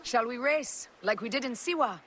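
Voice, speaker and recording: A woman's voice: fairly high pitch, lively intonation, normal speed, slight background noise. An actress playing a fierce, devoted warrior woman of ancient Egypt in a dramatic video game cutscene. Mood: teasing. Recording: loud game music behind.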